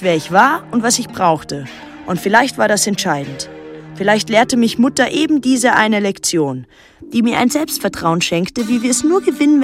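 A guarded, brooding young island woman. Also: mid-range voice. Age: young adult. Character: guarded, brooding eighteen-year-old island girl telling her story. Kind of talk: literary radio drama narration. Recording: dry close studio, music underneath. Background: soft music.

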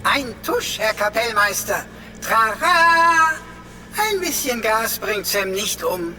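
An actor playing a cocky computer sidekick in a radio drama, pompous and mock-theatrical, with florid phrasing and comic slips of the tongue. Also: male voice, high voice, very animated, slow pace, sharp tone, a little hiss or hum, exaggerated voice. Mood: boastful, gleeful.

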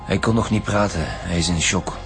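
Businesslike, low-voiced Flemish detective. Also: male voice, normal speed, faint music behind. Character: brisk, businesslike male police detective in charge. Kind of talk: radio drama acting.

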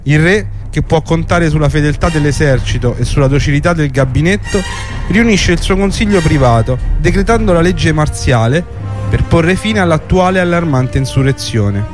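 A man's voice: fairly high pitch, animated, moderate pace. A man delivering scripted historical narration in a radio documentary. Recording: clear music bed under the voice. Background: music.

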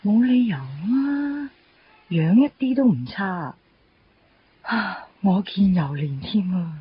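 A woman's voice: medium pitch, expressive, slow, husky, full character voice. An actress playing a confident, teasing young female graduate in a radio drama. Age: young adult. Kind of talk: Cantonese radio drama acting. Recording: dull radio drama recording with music.

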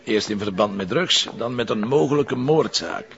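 An actor playing a sardonic, blunt police detective in a television crime drama, with a Flemish accent and a teasing manner. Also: male voice, medium pitch, moderate pace, a bit bright, close to an everyday voice. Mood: businesslike. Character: cynical, wisecracking male police detective, opinionated and flirtatious. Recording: muffled, noisy drama soundtrack.

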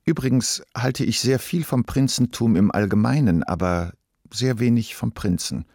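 A man playing a wry, composed wealthy employer in a literary radio drama, speaking calmly, deliberately and with irony. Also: mid-range voice, no echo, studio quality, lightly acted.

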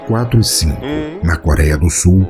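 Deep-voiced Brazilian man narrating horror. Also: moderate pace, music in the background. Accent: Brazilian Portuguese. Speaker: podcast narrator. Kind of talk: dramatic scripted horror story narration.

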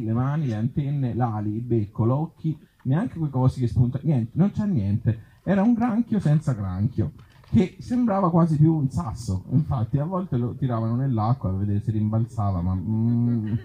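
A man performs comic short stories live. His voice is very mellow and a little husky, with animated swings in pitch. He slips often from theatrical storytelling into conversational asides to his listeners. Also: muffled recording. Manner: theatrical storytelling broken by self-deprecating asides to the audience. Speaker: author and actor reading his own short stories live. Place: live outdoor festival event before an audience.

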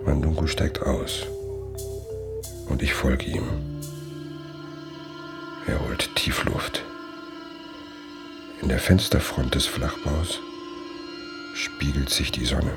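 An actor playing an observant German traveller who narrates a radio play in measured, quietly descriptive literary prose, with a gravelly voice. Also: male voice, fairly even intonation, heavily acted. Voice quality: very deep, gravelly. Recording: strong music bed behind voice. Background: music.

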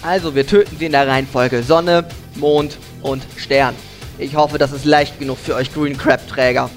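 A man's voice: medium pitch, steady tone, normal speed, soft background music, natural voice. A man acting a cocky, bossy night elf hunter in a comedic fantasy game audio drama. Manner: arrogant, bragging, commanding.

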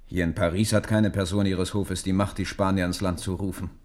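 A man acting as a hard-headed minister to a king in a radio drama. He has a low voice and presses his case bluntly and formally, with stern urgency. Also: level tone, brisk, a little mellow, lightly acted. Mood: grave, insistent. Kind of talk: German radio play acting.

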